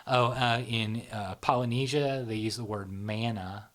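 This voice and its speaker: Male guest, lowish voice, rambling explainer, fillers and tag questions, trailing soft endings. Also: steady tone, a little slow. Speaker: psychology channel founder, guest. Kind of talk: casual explanatory conversation.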